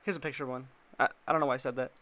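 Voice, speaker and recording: A man's voice: medium pitch, fairly even intonation, very fast, crowd noise. A man narrating a casual explainer video in an informal, slangy way, with playful asides.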